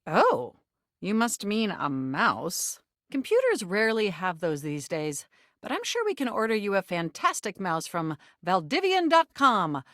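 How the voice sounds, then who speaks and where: A woman voicing a helpful, earnest female computer in a video game, speaking formally and precisely but getting excitable and slangy. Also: lowish voice, no room sound, clean studio sound. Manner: formal, precise wording with bursts of giddy slang. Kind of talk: video game character voice acting.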